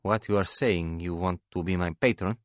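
A man's voice: sits low, normal speed, no echo, lightly acted. A man voicing a passionate, blunt young woman painter in an audiobook, speaking with earnest emphasis. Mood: cool, wary.

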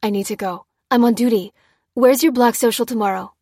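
Woman voicing an excited male army major, emphatic. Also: a little high, a little fast, slightly rough, no echo, studio quality. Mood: excited, hurried.

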